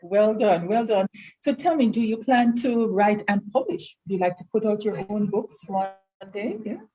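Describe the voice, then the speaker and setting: Woman, panel moderator, clear explanatory delivery, mid-pitched. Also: animated, normal speed, dark tone, poor audio.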